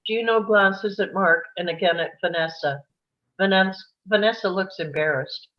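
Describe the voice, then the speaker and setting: A woman's voice: mid-range voice, normal speed, muffled recording. A woman narrator reading scripted stage directions for a screenplay.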